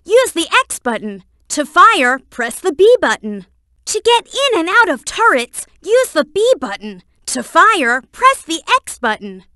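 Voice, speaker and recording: A woman's voice: very high voice, sharp tone, no room sound, studio quality, full character voice. A woman voicing an eager, brainy young boy fox sidekick in a video game, earnest and helpful as they explain things. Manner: earnest, instructive, explains carefully.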